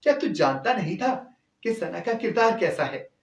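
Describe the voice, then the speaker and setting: A man's voice: high voice, very animated, moderate pace, gravelly, voice pushed up, heavily acted. A man voicing a stern, angry older mother scolding her son in an indignant manner, in a storytelling recording. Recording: echoey, distant-sounding voice.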